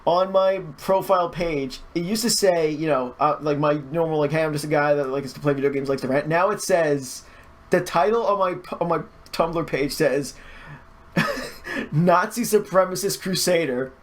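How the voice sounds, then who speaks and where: A man's voice: fairly high pitch, normal speed. A man chatting casually and giving opinions, repeating words as he goes and swearing freely. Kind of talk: casual opinionated chat about gaming.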